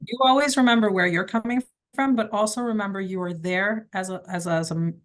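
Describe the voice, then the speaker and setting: A woman's voice: medium pitch, fairly quick. A middle-aged woman from Quebec, a criminal defence lawyer, giving direct, emphatic advice in an interview. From Quebec, Canada. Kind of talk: conversational interview answers.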